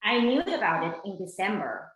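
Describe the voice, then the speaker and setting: A woman's voice: mid-range voice, very animated, moderate pace, fairly bright tone. A woman playing a matter-of-fact schoolteacher who recounts events conversationally in documentary theater. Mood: matter-of-fact, recounting. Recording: roomy, echoing sound.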